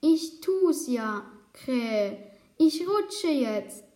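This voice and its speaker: With a roomy echo around her, a woman plays a naive storybook mother cow, high and very slow. The cow is anxious yet playful, with hesitant, drawn-out exclamations. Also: animated, warm tone, exaggerated voice. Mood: worried, hesitant. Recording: roomy, echoing sound.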